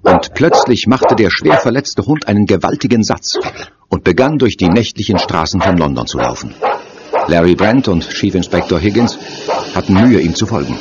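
A man's voice: low voice, animated, normal speed, heavy background noise. A man narrating a German horror audio drama as a grave, dramatic storyteller. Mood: urgent, dramatic. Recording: dull audio-drama tape.

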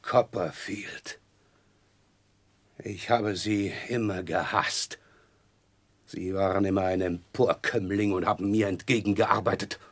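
An actor playing a hateful, cornered schemer in a radio drama, speaking with drawn-out venom. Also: male voice, low voice, fairly even intonation, breathy edge, heavily acted. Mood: bitter hatred. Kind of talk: 1960s German radio drama acting.

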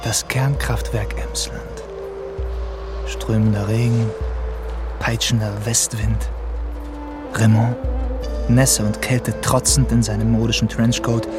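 An actor playing a pulpy, hard-boiled storyteller, narrating a radio crime drama theatrically. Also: male voice, medium pitch, close to an everyday voice.

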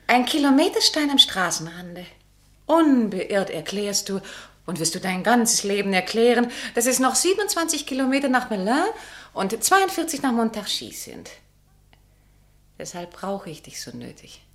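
An actress playing an aloof, mocking former lover in a classic radio drama, with a teasing, condescending manner. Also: female voice, fairly high pitch, big pitch swings, moderate pace.

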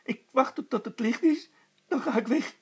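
A man's voice: extremely high pitch, moderate pace, slightly rough, voice pushed up. A man voicing a stubborn, frightened female housekeeper in a story, calling out shrilly and insistently. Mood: stubborn, defiant.

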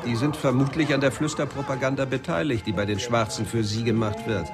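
Man playing sardonic cop captain, threatening and officious, mid-pitched, radio play w/ clear music behind. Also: steady tone, brisk, crowd noise, lightly acted. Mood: cold, smug. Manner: sarcastic, pressing, officious. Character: menacing, sardonic male police captain interrogating. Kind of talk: German radio documentary drama acting.

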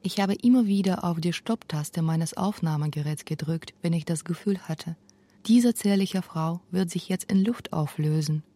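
Mellow, reflective thirty-year-old woman from Belarus. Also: on the low side, normal speed. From Minsk, Belarus. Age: 30s. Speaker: feature author and narrator. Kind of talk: scripted first-person documentary narration.

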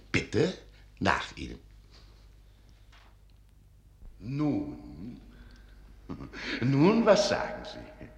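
An actor playing a courtly, eccentric older archivist and magician in a German fairy-tale radio play, ceremonious and slyly amused. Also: male voice, on the low side, reverberant, heavily acted. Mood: grandly inviting.

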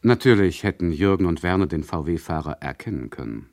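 A man's voice: low voice, animated, moderate pace, a little mellow. A smug radio drama narrator. He talks straight to the listeners with mock patience and dry sarcasm. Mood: dismissive. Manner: sardonic, addresses listeners directly, mock-patient. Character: condescending, chatty male narrator who mocks his listeners. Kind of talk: ironic radio play narration.

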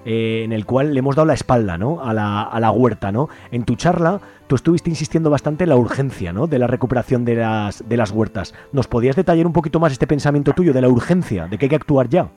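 A man's voice: medium pitch, expressive, fast talker, few pauses, dark tone. A man with a Spanish accent from Spain hosting a conversational radio interview, informal, often tagging his phrases with little questions. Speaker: radio host interviewing guests.

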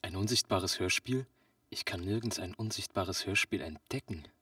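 A man playing a naive, excitable customer in a comic audio play, wide-eyed, puzzled and easily astonished. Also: deep voice, moderate pace, no room sound, clean studio sound, distant mic.

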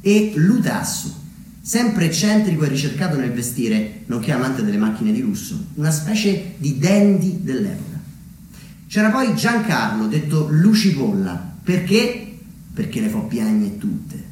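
A man delivering a scripted theatrical monologue as a storyteller, measured, with deliberate dramatic pauses. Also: mid-range voice, big pitch swings, darkish tone. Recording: very echoey room, distant mic, slight noise.

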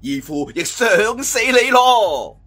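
Guy doing a boisterous cantonese sword master for an audiobook, teasing and haughty, swoopy loud voice. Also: sing-song, slow pace, full character voice. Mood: jovial, teasing. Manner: booming, laughing, mocking.

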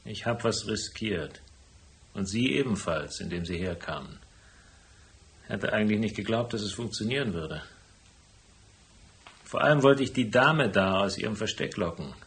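An actor playing a shrewd, unflappable private detective in a radio crime drama, speaking in a dry, deliberate way. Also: male voice, fairly low pitch, animated, fairly bright tone. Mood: cool, needling. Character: cool, laconic hardboiled male private detective, shrewd and unflappable. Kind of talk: German radio crime drama acting with first-person narration.

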